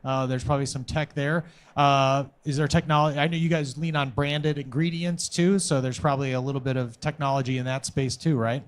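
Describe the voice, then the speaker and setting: A man's voice: mid-range voice, level tone, fairly quick, hardly any pauses, clear, resonant tone. A man moderating a panel, asking conversational questions and steering the talk.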